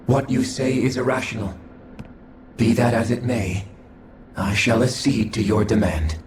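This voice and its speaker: A man voicing a detached alien observer in a video game, formal, measured and emotionless. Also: medium pitch, a little hiss or hum, natural voice. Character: cold, detached alien observer of a fallen species. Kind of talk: scripted video game voice acting. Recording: very roomy echo, music behind.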